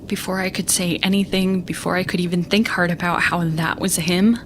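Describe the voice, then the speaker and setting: An actress playing a young female starship captain, shaken and stubborn, telling her story in a halting, earnest monologue for an audio drama. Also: on the low side, little pitch movement, noisy background, natural voice. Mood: rueful, earnest. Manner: halting, earnest, self-interrupting. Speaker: lead character recounting events in a broadcast. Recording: music bed under the voice. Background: music.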